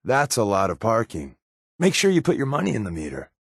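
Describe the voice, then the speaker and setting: An American actor playing a sardonic, wisecracking secret agent companion in a video game, with a wry, offhand delivery. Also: male voice, fairly low pitch, wildly swooping pitch, fairly quick, creaky, breathy edge, no echo, clean studio sound, heavily acted. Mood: sarcastic.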